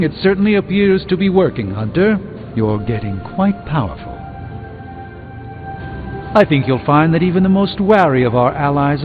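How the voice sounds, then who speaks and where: A man's voice: fairly high pitch, close to an everyday voice. An actor playing a pompous, refined middle-aged male sorcerer in a video game, speaking formally with dry wit. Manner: pompous, formal, dryly wry, theatrical. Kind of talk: scripted video game dialogue. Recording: thin phone-like band, music behind.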